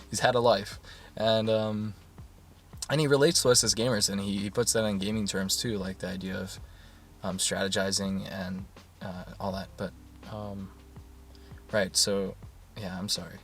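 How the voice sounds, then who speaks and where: Soft-spoken man rambling over music. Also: on the low side, level tone, a little slow, long pauses. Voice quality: soothing. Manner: soft-spoken, meandering, many fillers and restarts. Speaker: YouTuber. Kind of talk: casual rambling monologue.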